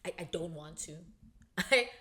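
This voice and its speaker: A woman's voice: lowish voice, animated, normal speed, sharp tone. A woman from Lesotho co-hosting a podcast, talking casually with playful, emphatic reactions. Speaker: podcast co-host. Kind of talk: casual chat.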